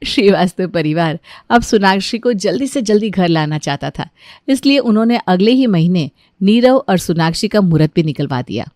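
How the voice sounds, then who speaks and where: A woman's voice: on the low side, lively intonation, moderate pace, darkish tone, no room sound, studio quality. A woman narrator reading a scripted cartoon story.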